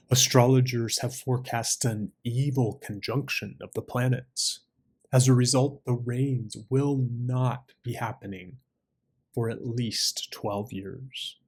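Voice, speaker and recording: A man's voice: mid-range voice, darkish tone, husky. A man voicing an old crane in a storybook fable, a schemer who sounds grave, philosophical and persuasive. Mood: grave warning. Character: old male crane, scheming, feigning sorrow and wisdom.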